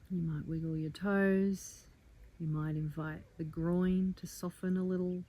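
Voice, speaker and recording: A woman teaches a guided meditation in a slightly low, very mellow voice. She is calm and gentle, inviting the listener along. Her phrases are measured and leave room for pauses. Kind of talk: guided meditation, soft slow instruction.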